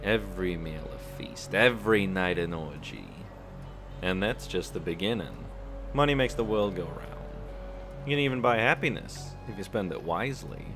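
A man acts out a shady middle-aged loan shark from a crime video game. His low voice runs through smooth, worldly patter, always selling something. Music plays behind him. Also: expressive, moderate pace. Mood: persuasive. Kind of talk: fan dub video game acting.

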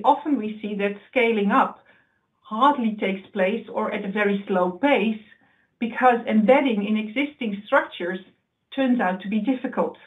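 Woman presenting over tinny call audio. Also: medium pitch. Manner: measured, explanatory, with occasional slips and restarts. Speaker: research presenter. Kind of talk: webinar slide presentation.

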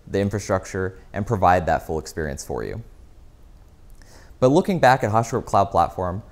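A man in his thirties presenting a product keynote in an explanatory, emphatic way, recorded on a lapel mic. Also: lowish voice. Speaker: company executive, keynote presenter. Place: indoor office lounge.

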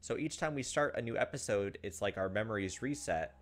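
A man, perhaps in his thirties, reading visual novel narration aloud and commenting, with dramatic, halting delivery. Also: fairly low pitch, lively intonation. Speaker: gaming video host. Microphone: desk microphone close up. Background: game music.

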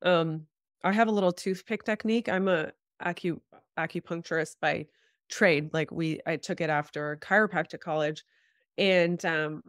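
A woman's voice: on the low side, fairly even intonation, moderate pace, dry recording, studio quality. A woman in her thirties with a slightly congested voice, explaining health topics informally as an interview guest on a video call. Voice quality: slightly congested, stuffed-up nose. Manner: informal, frequent "like" and "you know". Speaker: guest health practitioner, chiropractor and acupuncturist. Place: small room at home, video call.

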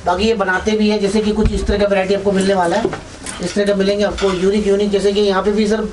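A man's voice: high-pitched, little pitch movement, quick pace, darkish tone. A man touring a wholesale gift shop for his vlog, eagerly asking prices and repeating the answers back. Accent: Hindi. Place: crowded gift shop indoors. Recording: noisy shop background.

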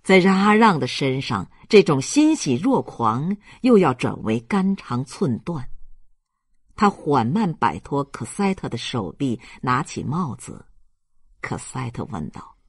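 Narrator for literary fiction: unhurried, husky, expressive storytelling in a dry recording. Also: medium pitch, no room sound. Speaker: audiobook narrator.

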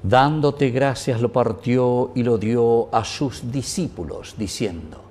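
A middle-aged man from Paraguay, a priest saying the prayers of Mass in a measured, solemn way in a small chapel. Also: medium pitch, darkish tone.

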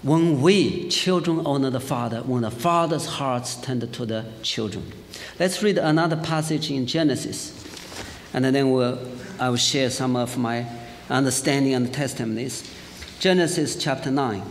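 A middle-aged man with a Chinese accent preaches in a large church hall, his voice ringing with a long reverb over faint hiss. He sits at an ordinary pitch, tells his story in a lively way and fills his pauses with "uh". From China. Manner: lively storytelling, laughs often, frequent fillers. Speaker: pastor. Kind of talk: sermon with personal testimony.

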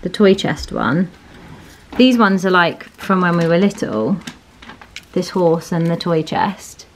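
A woman's voice: on the low side, animated, slow. Chatty young British woman, noisy vlog recording. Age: young adult. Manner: chatty, informal. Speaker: vlogger. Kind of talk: casual vlog chat. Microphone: handheld camera at arm's length. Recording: noisy handheld vlog, room and car sounds.

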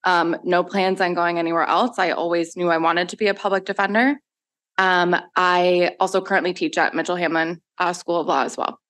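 A woman public defender, steady and matter-of-fact, introducing herself on a panel. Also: on the low side, brisk. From Colombia, raised in Minnesota. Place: large law school hearing room.

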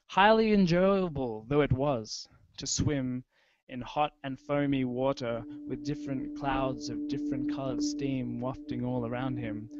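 A young man giving a measured, deliberate literary reading of experimental fiction into a close microphone. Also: medium pitch, dry recording. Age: young adult. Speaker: writer reading his own audio fiction. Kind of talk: literary reading over a soundscape. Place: indoor room with wooden ceiling. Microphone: handheld-style microphone close to mouth, video call. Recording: dull lo-fi online stream. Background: prominent musical soundscape.

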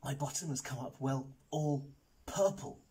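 A man voicing an earnest, embarrassed, chatty newsagent who confides in hushed tones, in a children's comedy audiobook. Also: mid-range voice, very animated, brisk, a little hiss or hum, natural voice.

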